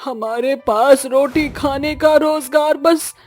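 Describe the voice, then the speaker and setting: A woman voicing a distraught, fearful village mother-in-law in a cartoon story, lamenting in a plaintive, drawn-out way. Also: high voice, steady tone, darkish tone. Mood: tearful despair.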